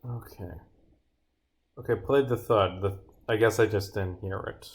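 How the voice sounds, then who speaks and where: A man's voice: fairly low pitch, measured pace. A man livestreaming casual commentary as he debugs his game, muttering to himself, exclaiming and swearing. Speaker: game developer streaming. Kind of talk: casual livestream commentary while bugfixing, reading dialogue aloud. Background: game music.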